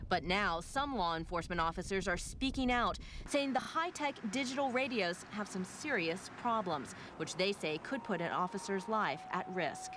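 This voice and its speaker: A woman TV news reporter reading a scripted report in a measured, formal delivery. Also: mid-range voice, expressive, background noise.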